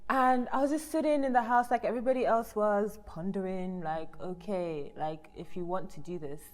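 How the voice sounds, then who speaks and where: A young woman from Nigeria, a model and TV presenter, chatting as a guest in an animated, expressive way with frequent laughter. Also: mid-range voice, moderate pace. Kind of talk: casual conversational interview. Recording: long reverb from the room.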